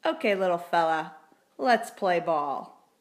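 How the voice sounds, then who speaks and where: A woman voicing a grouchy old man in a storybook, gruff and challenging. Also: on the low side, animated, slow pace. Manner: growling, gruff.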